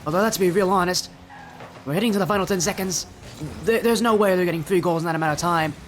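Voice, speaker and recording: A young man doing solo play-by-play commentary for an esports match, sounding a little stuffy and yelling in high bursts at big plays. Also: quick pace, slight background noise. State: slightly stuffy from allergies. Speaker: esports caster.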